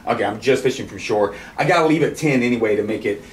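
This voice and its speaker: A middle-aged man hosting a podcast, telling a casual story in an animated, excitable way, swearing freely and restarting sentences. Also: lowish voice, fast talker. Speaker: podcast host. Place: indoor studio with camo backdrop, at a table. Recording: roomy and distant with faint noise.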